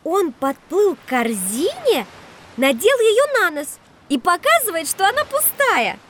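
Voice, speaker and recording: Enthusiastic polite child, very high pitch, animated intonation, children's audio play. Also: female voice, a little slow, a little hiss or hum, heavily acted. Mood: curious. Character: enthusiastic, wide-eyed, polite child full of questions. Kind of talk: scripted children's educational audio drama.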